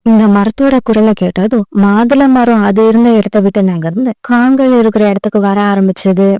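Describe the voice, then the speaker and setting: Smooth, softly mellow woman storyteller. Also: mid-range voice, moderate pace, dry recording. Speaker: narrator. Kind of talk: scripted children's story narration.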